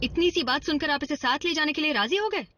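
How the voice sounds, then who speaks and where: A woman's voice: high-pitched, lively intonation, fast, noisy background. A woman voicing a distrustful, sarcastic robot warrior with a challenging manner in a cartoon dub. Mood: skeptical, annoyed. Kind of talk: animated series dub acting.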